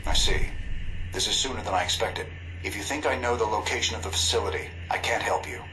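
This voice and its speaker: An actor playing an older, secretive intelligence broker in a video game, formal, precise and cool over a radio transmission. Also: male voice, on the low side, fast. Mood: cool, measured. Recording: noisy, humming radio link.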